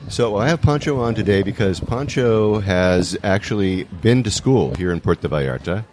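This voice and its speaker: A man with a low voice hosts a casual round table, his pitch swinging widely as he jokes and prods guests. Faint background ambience sits behind him. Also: animated, moderate pace, a little mellow, a little hiss or hum. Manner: joking, playful interjections. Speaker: host.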